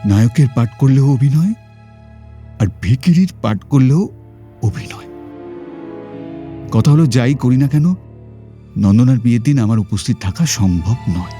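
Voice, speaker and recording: A long-suffering but resolute stage-actor husband in a radio-style drama, played by a man. His mid-pitched voice is dark and mellow, measured and theatrical, over faint music. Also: lively intonation, natural voice. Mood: calm, resolute. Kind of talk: Bengali audio drama acting.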